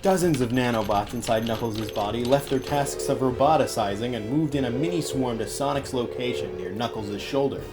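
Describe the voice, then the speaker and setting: A man's voice: medium pitch, fairly quick, hardly any pauses. A man reading a scripted adventure story as an audiobook narrator, in a dramatic, earnest way. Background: music.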